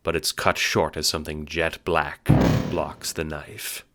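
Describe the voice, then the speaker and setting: A man's voice: very deep voice, flat delivery, measured pace, bright, forceful tone, soft background music. A man reading dramatic scripted narration for an audio drama, as its storyteller.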